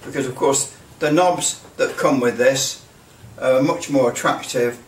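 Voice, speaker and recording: A man giving a casual hands-on demonstration, halting and thinking aloud as he repeats phrases. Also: mid-range voice. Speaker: presenter of a hobby project. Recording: roomy, distant mic, faint noise.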